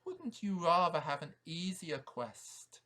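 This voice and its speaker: A man's voice: on the high side, very animated, takes it slowly, pushed and bright, heavily acted. A man voicing a sly fairy-tale goblin who coaxes and tempts in a persuasive way. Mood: coaxing. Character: tempting goblin, sly dissuader of brave knights.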